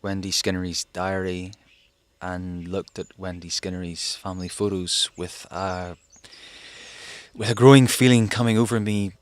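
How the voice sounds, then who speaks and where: An actor playing a haunted fugitive physicist in a wartime audio drama, musing hesitantly as if recording a diary. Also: male voice, deep voice, natural voice. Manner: hesitant, musing, with sighs and broken phrases. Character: haunted, rambling fugitive physicist, man living through a war.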